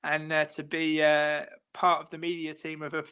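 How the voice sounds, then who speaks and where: A man's voice: a little high, completely flat delivery, normal speed, bright, forceful tone, smooth. A man, a football interviewer, talking at length in a casual chat, full of fillers and restarts. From England. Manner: long rambling answers with many fillers and restarts. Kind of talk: casual chat on a live stream.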